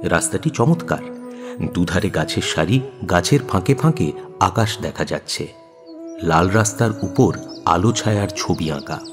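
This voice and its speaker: A man narrates a radio drama in a low voice. His intonation is very animated as he reads the scripted story, with music clearly playing underneath. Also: unhurried.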